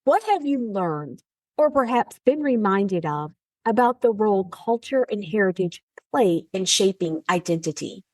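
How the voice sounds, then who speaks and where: A woman's voice: medium pitch, expressive, measured pace, a little mellow, no echo, studio quality. A woman hosting a podcast, polished and formal as she introduces her guest and asks questions. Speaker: podcast host.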